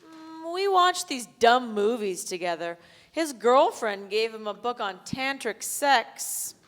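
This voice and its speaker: A woman's voice: a little high, animated, takes it slowly, fairly bright tone. A woman playing a brash, crude, flirtatious bar regular in a live staged play reading, blunt and casual in manner. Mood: chatty. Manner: foul-mouthed, casual and blunt. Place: theatre stage before a live audience. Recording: reverberant theatre hall, live stage.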